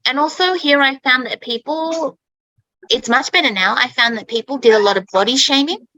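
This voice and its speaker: A woman's voice: high-pitched, normal speed, bright, forceful tone, no echo, poor audio. A woman, probably in her thirties, telling a personal story to English learners in a chatty way, often adding "okay?". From Australia. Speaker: speaker in an English-learning talk.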